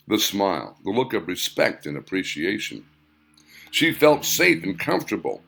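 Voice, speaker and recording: A man narrates a novel in a low-pitched voice with a gravelly creak. His reading is scripted storytelling, and his pitch moves up and down in a lively way across each phrase. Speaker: author reading own novel as audiobook narrator.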